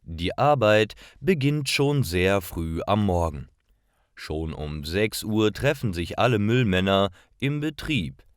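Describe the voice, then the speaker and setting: A man narrates simple learner stories at a very slow pace, phrase by careful phrase. His voice sits fairly low but moves with very lively intonation. The sound is dry and close. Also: no room sound. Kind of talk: scripted narration, slow learner-friendly storytelling.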